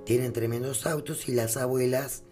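Male host, preachy and emphatic, over loud guitar music. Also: mid-range voice, fairly even intonation, moderate pace. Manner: emphatic, preachy, rhetorical questions. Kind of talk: opinionated talk-show chat.

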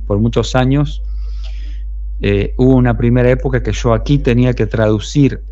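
A middle-aged man from Uruguay with a Uruguayan Spanish accent, a musician talking through his story in a relaxed, thoughtful interview, often restarting his sentences. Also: medium pitch, normal speed, slight background noise. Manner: thoughtful, rambling with many restarts. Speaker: street musician and songwriter. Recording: dull muffled sound.

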